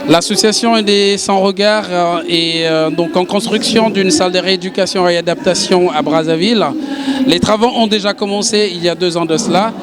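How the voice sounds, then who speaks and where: A man giving a formal, halting spoken appeal as a charity president. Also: high voice, steady tone, a loud crowd. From Congo. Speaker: charity president, former footballer. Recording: loud music behind voice. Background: loud music.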